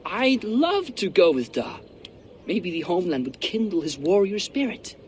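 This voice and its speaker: An actor playing a mocking, defiant seventeen-year-old prince in a video game. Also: male voice, sits high, very animated, normal speed, a little hiss or hum. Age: teens.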